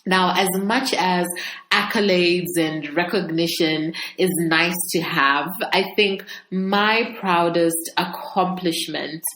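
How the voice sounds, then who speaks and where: Unhurried woman podcaster in an echoey room. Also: fairly low pitch. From Zambia. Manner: conversational, expressive, laughs often. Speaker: podcast host. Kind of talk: solo podcast monologue addressed to listeners. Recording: echoey room, faint background noise.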